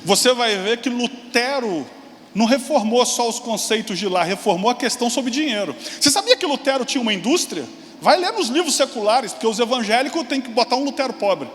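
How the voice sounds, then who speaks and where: Man, 40s, pastor preaching, very high voice, long church-hall reverb. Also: expressive, a bit bright. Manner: preaching with sudden high exclamations and quick asides to the congregation. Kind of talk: sermon. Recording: long hall reverb, faint room noise.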